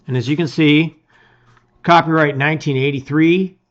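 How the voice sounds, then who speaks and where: A middle-aged man presenting and reading aloud with expressive emphasis, his phrases often trailing off softly. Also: fairly high pitch, moderate pace. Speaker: host reading a gamebook aloud. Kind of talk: casual intro and dramatic read-aloud of storybook narration. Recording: slightly dull, lo-fi sound.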